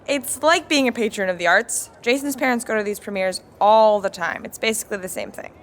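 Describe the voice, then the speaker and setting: An actress playing an excitable, anxious young woman in a sitcom. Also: medium pitch, slight background noise, lightly acted. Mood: animated. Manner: animated, quick to shout.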